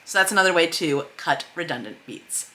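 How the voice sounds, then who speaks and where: A woman's voice: sits low, expressive, fairly quick, bright, forceful tone, poor audio. A woman hosting a writing tutorial, explaining conversationally and reading excerpts aloud, leaning on key words for emphasis. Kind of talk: explanatory screen-share lesson, reading script excerpts aloud.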